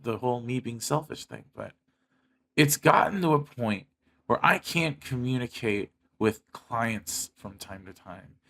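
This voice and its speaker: A man's voice: mid-range voice, animated, measured pace, vocal fry, sharp tone. A young American man speaking candidly to his viewers in a personal monologue, blunt and emphatic, with heavy swearing. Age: young adult. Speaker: YouTuber.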